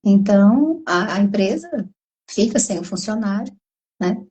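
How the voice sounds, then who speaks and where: A woman psychologist with a Brazilian Portuguese accent, hoarse from a cold, explaining at length in a live online conversation and tagging her sentences with questions. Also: mid-range voice, animated, a little slow, warm tone, poor audio. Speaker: psychologist guest.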